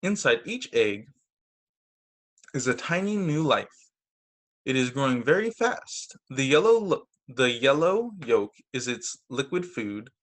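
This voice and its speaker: A man teaching young children through a read-aloud storytime on a video call, with expressive, deliberate phrasing. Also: mid-range voice. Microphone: video call microphone.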